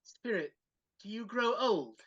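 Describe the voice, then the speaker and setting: A woman's voice: medium pitch, animated, slow pace, breathy edge. A woman voicing an anxious, pleading, repentant old miser in a live amateur reading over a dull video call.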